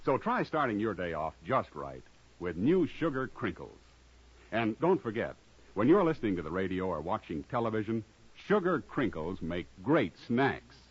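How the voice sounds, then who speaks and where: A man's voice: on the low side, breathy edge. A man announcing in vintage radio commercial style, upbeat and salesy. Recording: muffled old radio recording.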